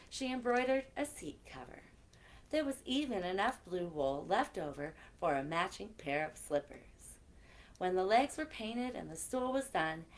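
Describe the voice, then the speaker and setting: A woman hosting a children's story time, reading aloud expressively and chatting directly to her young listeners. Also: medium pitch. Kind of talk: animated read-aloud for children with chatty asides.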